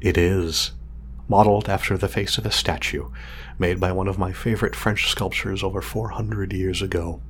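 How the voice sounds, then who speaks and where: A low-voiced, proud male inventor acted by a man in a sci-fi audio drama. He talks formally and measuredly, with condescending exactness, over a clearly audible lab hum. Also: steady tone, moderate pace, close to an everyday voice. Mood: proud, instructive. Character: pompous male craftsman of artificial companion drones, exacting, proud. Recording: clear background noise, sci-fi lab ambience.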